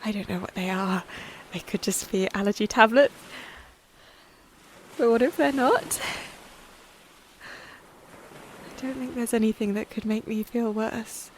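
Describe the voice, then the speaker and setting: Woman acting a despairing high-voiced castaway woman. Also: normal speed, a little hiss or hum, lightly acted. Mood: weary, despairing. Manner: weary, raw, emotional. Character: despairing injured woman castaway stranded alone on an island. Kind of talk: audio drama monologue, confessional diary. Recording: music behind the voice.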